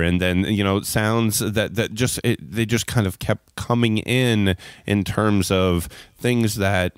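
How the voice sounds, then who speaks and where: A man's voice: lowish voice, no echo, clean studio sound. A man hosting an interview podcast, talking effusively and wordily in circling phrases. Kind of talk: enthusiastic interview talk.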